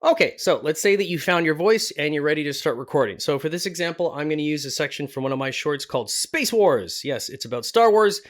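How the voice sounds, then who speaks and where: A man's voice: fairly high pitch, animated, a little fast, few pauses. Casual man teaching voice work. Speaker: host, voice actor giving a tutorial. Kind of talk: casual instructional talk.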